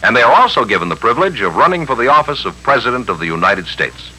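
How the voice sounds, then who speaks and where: An actor playing an emphatic, instructive civics explainer in a 1950s radio announcement, with a rough-edged voice. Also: male voice, medium pitch, very animated, fast talker, sharp tone, heavily acted, rough recording. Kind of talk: 1950s radio public information address on civics.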